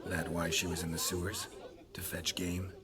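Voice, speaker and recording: A man playing a terse, sardonic monster hunter for hire in a fantasy video game, with a dry, deadpan delivery. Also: deep voice, normal speed, over a noisy crowd, lightly acted. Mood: businesslike. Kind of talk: video game cutscene acting.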